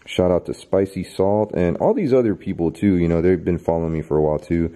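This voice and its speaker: A man in his thirties hosting a vlog, chatting to the camera and reading off viewer names while exploring a dark abandoned stadium at night. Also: deep voice, fairly quick. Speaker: YouTube host. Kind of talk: casual vlog narration. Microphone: handheld camera held close.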